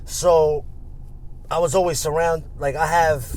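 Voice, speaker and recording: A man's voice: on the high side, steady tone, unhurried, a little mellow. A young man with a New York accent, talking casually in an interview with loose street slang and many fillers. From Coney Island, Brooklyn. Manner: laid-back street slang, lots of fillers. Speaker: rapper, interview guest. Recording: noisy street background.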